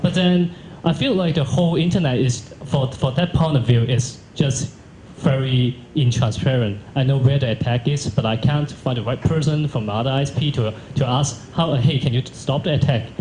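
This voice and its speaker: An echoey function-room recording of a man with a Hong Kong accent giving panel remarks. He speaks haltingly and repeats words, with faint noise behind him. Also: medium pitch, a little mellow. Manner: halting, repeats words, non-native phrasing. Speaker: panelist from a cloud service provider. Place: multi-purpose function room at a conference.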